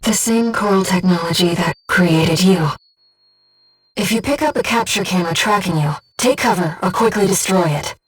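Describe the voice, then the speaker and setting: A woman voicing a gentle, earnest, disembodied female companion in a video game, guiding a pilot with composed, precise callouts and briefings. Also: lowish voice, moderate pace, slightly rough, distant mic. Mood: composed, informative. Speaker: mission support companion.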